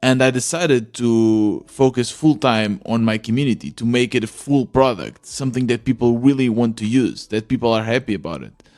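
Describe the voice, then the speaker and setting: A man's voice: mid-range voice, animated, moderate pace, hardly any pauses, a little mellow. A man hosting a podcast, narrating his own reflections in the first person. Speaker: podcast host.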